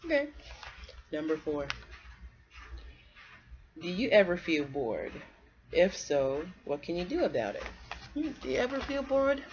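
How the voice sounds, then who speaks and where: A woman from North Carolina reading aloud to children in a conversational way, pausing to put questions to the young listeners. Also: fairly low pitch, very animated, muffled recording. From North Carolina, United States. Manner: conversational, asks the listener questions and pauses for answers.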